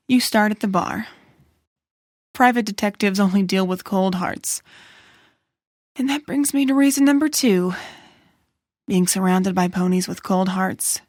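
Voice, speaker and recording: A woman's voice: mid-range voice, no echo. Woman acting a dry-sounding, world-weary pony writer. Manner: moody, wry, self-deprecating. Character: world-weary, self-doubting female pony detective-story writer. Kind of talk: noir narration and dramatic dialogue.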